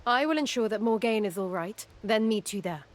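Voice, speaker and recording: A woman's voice: medium pitch, lively intonation, brisk, slight background noise, natural voice. An actress playing an earnest, composed female scholar in a video game, speaking formally. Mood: urgent resolve. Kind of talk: video game character acting.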